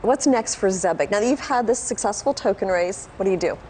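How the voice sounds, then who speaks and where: A woman's voice: mid-range voice, animated, brisk, warm tone, slight background noise, rough recording. A woman hosting a business interview in a conversational style, asking questions and reacting to answers. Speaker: interviewer, host.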